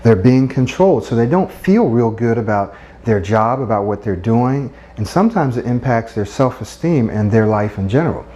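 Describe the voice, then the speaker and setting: A middle-aged man coaching listeners on speaking, earnest and encouraging, often checking in with a quick "right?". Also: medium pitch, animated, dark tone. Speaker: speech coach. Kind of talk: instructional talk.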